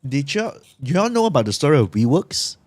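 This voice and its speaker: A man's voice: on the high side, very animated, a little fast, darkish tone, no room sound. A man co-hosting a podcast in casual chat, laid-back, often searching for words and laughing. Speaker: podcast co-host.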